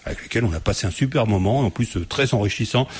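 A low, slightly husky man talks with a guest in a radio studio. He is chatty and loose, laughs often and pads his sentences with fillers. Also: lively intonation, fast talker. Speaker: radio show host. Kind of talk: live radio studio chat.

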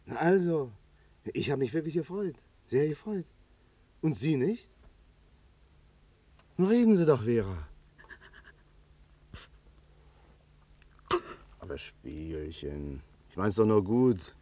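An actor playing a chatty, plain-spoken, good-hearted barber in a colloquial, familiar manner in a 1950s German radio play. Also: male voice, medium pitch, sing-song, measured pace, pauses often, mellow. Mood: concerned, coaxing.

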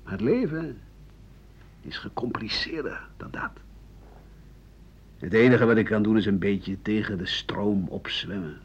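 An actor playing a bitter, cynical old French doctor and writer in a radio play, rambling and scornful with muttered asides. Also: male voice, on the low side, measured pace, pauses often, husky. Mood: disillusioned. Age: older. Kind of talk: radio play monologue in interview form. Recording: muffled, noisy 1980 radio drama recording.

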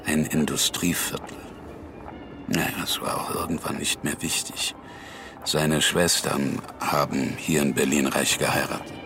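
Very deep, gravelly, world-weary man. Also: little pitch movement, measured pace, over music. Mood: resigned. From New York, United States. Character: laconic, world-weary American man confiding in his lover. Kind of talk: intimate radio drama acting.

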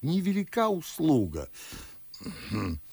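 Pompous old gentleman, husky and theatrical, very animated intonation, dry studio radio play. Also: on the high side, slow pace, no echo, full character voice. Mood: admonishing. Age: older. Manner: declamatory, theatrical, old-fashioned. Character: pompous, garrulous old Moscow nobleman and father. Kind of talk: radio play acting in verse.

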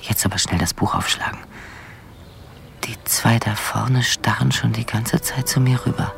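An actress playing a wary woman posing as a harmless tourist, low and often whispery, self-mocking, in radio drama. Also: normal speed, vocal fry, soft background music. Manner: self-mocking inner monologue. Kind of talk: intimate radio drama monologue and dialogue.

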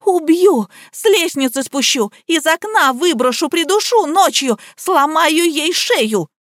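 A woman's voice: sits high, lively intonation, moderate pace, dry recording, studio quality, voice pushed up. A woman voicing a hysterical, vulgar young wife in an audiobook, shrilly hurling abuse and threats. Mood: hysterical screaming.

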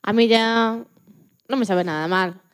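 A woman from playing a lively, joking retired Antarctic scientist in her eighties in a radio interview, often restarting her sentences. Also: a little high, clear, resonant tone, natural voice. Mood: matter-of-fact. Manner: chatty, joking, halting with restarts. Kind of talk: scripted mock radio interview.

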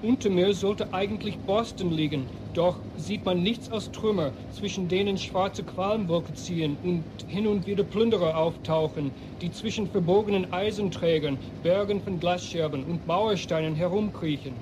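An actor as a shaken male reporter in the air, his voice pitched high. He reports as an eyewitness in eighties radio play style, over clear vehicle noise. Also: normal speed, hardly any pauses, close to an everyday voice. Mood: appalled. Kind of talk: 1980s radio drama, live eyewitness report.

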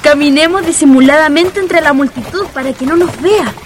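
A woman's voice: high voice, moderate pace, slight background noise, lightly acted. A woman playing a reassuring, cheeky young Chilean girl in a radio drama. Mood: amused, teasing. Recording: music under the voice.

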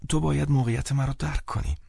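A young seminarian from an audiobook novel , voiced by a male reader, reluctant and bound by rules. He speaks softly and evasively in a pained, husky voice, pitched up from a narrator's register and recorded dry. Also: steady tone, no room sound. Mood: uneasy. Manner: hesitant, hushed, evasive, pained.

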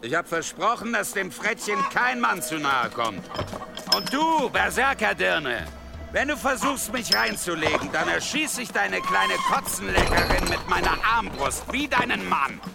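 A man's voice: sits high, sing-song, a little slow, creaky, over loud music, exaggerated voice. An actor playing a hard, suspicious, threatening male commander in a German radio drama, barking orders. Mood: commanding, menacing.

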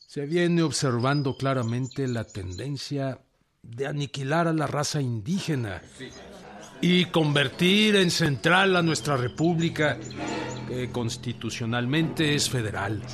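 An actor playing a solemn, defiant Mexican revolutionary leader, declaiming proclamations formally in a radio drama. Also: male voice, medium pitch, animated, a little slow, fairly bright tone. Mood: indignant, solemn. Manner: oratorical, emphatic, formal.

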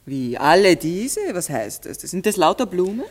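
Lively, coquettish woman, swooping intonation. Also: fairly low pitch, normal speed. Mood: curious. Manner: coquettish, theatrical. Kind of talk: classic German radio play acting.

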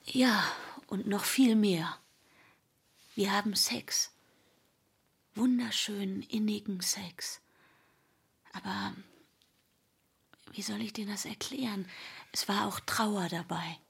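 An actress playing a drowsy, guarded wife in a radio drama, murmuring haltingly in a whispery voice. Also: female voice, medium pitch, fairly even intonation, full character voice. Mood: dreamy, intimate. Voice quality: breathy, whispery. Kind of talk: intimate radio drama acting.

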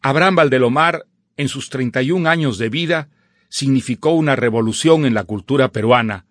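A man giving scripted literary commentary as a presenter, in an erudite, formal and measured manner. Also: mid-range voice, fairly bright tone, dry recording, studio quality. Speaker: presenter introducing a literary radio drama.

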